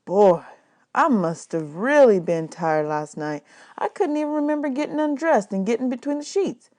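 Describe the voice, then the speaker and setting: A woman's voice: a little high, animated, moderate pace, a little mellow, voice pushed up, natural voice. A woman voicing a polite, wide-eyed young orphan boy in a storybook, folksy and exclamatory. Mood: amazed.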